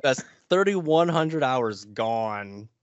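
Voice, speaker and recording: A man's voice: mid-range voice, animated, very slow, no room sound. A man chatting casually on a gaming podcast, wry and resigned. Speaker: podcast host. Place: small bedroom, indoors. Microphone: headset on a video call. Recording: slightly dull, lo-fi online call.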